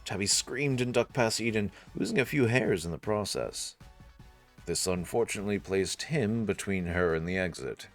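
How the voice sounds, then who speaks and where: A man with a low voice narrates a visual novel. His delivery is scripted, dramatic storytelling, with soft music underneath. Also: normal speed.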